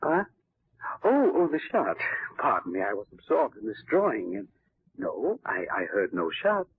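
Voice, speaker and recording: A nervous-to-please gardener from a 1940s radio play, polite and halting. His rough, husky voice swoops up and down through a tinny, narrow vintage recording. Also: on the low side, wildly swooping pitch, heavily acted. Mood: hesitant, deferential. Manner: halting, polite, hems and haws. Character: hesitant, deferential male gardener being questioned. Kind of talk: 1940s radio drama acting.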